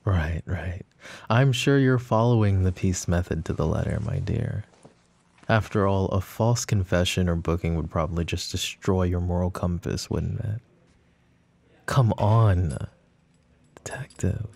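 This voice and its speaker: Man playing a low, smug, flirty mafia boss. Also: unhurried, creaky, darkish tone, heavily acted. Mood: mocking. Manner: teasing, mocking, intimate drawl with pet names. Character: smug, flirty, possessive male mafia boss under interrogation. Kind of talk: ASMR roleplay acting.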